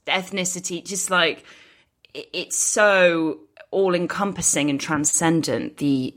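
Low-pitched woman, musing and emphatic. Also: fairly bright tone. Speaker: podcast co-host. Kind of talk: casual opinion chat.

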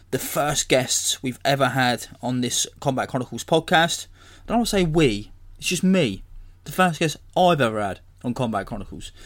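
Hoarse man rambling on a podcast. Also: mid-range voice. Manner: rambling, self-correcting. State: hoarse from illness. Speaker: podcast host. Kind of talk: casual solo podcast intro.

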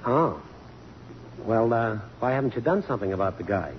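A man's voice: mid-range voice, animated, moderate pace, lightly acted. An actor playing a brash, teasing male photographer and old flame, glib, sardonic and insistent, in 1950s radio drama style. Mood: needling, flirtatious. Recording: dull, reverberant, noisy old radio broadcast.

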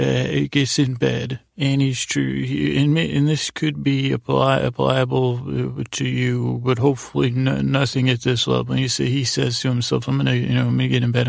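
A man hosting a bedtime podcast in a lulling, soothing, gravelly voice, meandering and halting with long pauses. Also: mid-range voice, no room sound, studio quality. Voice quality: gravelly, creaky. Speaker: podcast host. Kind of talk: rambling bedtime podcast monologue.

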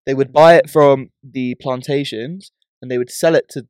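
Male podcast co-host, mid-pitched, emphatic storyteller, dry recording. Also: fairly even intonation, normal speed, a little mellow, no echo. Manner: chatty storyteller, emphatic, restarts often. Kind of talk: casual chat.

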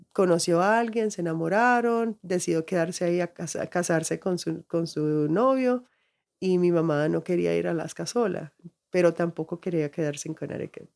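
A woman's voice: fairly low pitch, creaky, a little mellow. A middle-aged woman, raised in the United States, reflectively telling her life story as an interview guest, restarting phrases often. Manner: reflective, frequent restarts and laughs.

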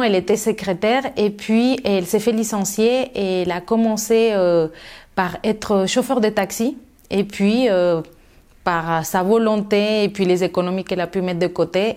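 A woman's voice: medium pitch, fairly even intonation, moderate pace, darkish tone. A middle-aged woman from Mexico, answering interview questions thoughtfully with many fillers and restarts. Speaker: guest.

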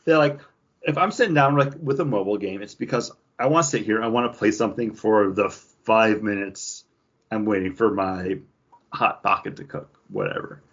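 A man co-hosting a podcast in casual chat, rambling and animated, acting out tapping through game menus. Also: fairly low pitch, moderate pace, slightly rough, lo-fi sound. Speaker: podcast co-host.

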